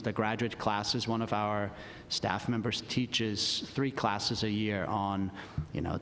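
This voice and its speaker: Guy on a school tech panel, kinda low voice, lots of ums, big echoey hall. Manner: relaxed, many fillers and restarts. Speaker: school division administrator. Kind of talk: panel discussion answer. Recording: reverberant conference hall, faint rumble.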